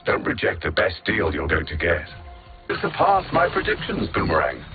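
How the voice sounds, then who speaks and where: An actor playing a cold, arrogant alien machine-intellect supervillain in a video game, haughty and sardonic with deliberate theatrical enunciation, through a synthetic radio-like filter. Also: male voice, sits low, wildly swooping pitch, vocal fry, full character voice. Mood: scornful. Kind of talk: video game villain dialogue. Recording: narrow band-limited radio-like sound, music behind. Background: game music.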